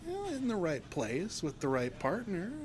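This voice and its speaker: Coaxing meat cutter, folksy, insistent, highish voice, swooping delivery, horror radio play, ambient noise behind. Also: male voice, huge pitch swings, measured pace, exaggerated voice. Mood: secretive, earnest. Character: blood-drinking young meat cutter, insistent, secretive and romantic. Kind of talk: horror audio drama dialogue.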